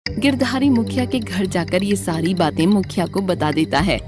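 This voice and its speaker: A woman's voice: mid-range voice, normal speed, music in the background. A woman reading scripted story narration as a storyteller.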